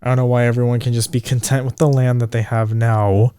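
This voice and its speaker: A man in his twenties co-hosting a casual podcast chat, swearing freely, his voice jumping high when he gets indignant. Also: little pitch movement, brisk, mellow, smooth, dry recording. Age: 20s. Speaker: podcast co-host.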